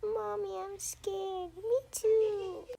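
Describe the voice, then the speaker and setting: A woman voicing a young, whiny, excitable child in an amateur roleplay story. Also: very high-pitched, extremely slow, gravelly, a little hiss or hum, pitched above the performer's own voice, heavily acted. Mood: scared.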